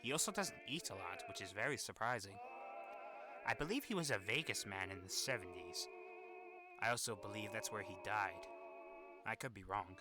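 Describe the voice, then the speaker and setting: A man's voice: on the low side, animated, moderate pace, natural voice. A man voicing a weary, irritable, sardonic demon hotel resident in a fan-made animation monologue, with grumbling, exasperated delivery. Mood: matter-of-fact. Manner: grumbling, exasperated, sighing asides. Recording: voice over old phonograph music.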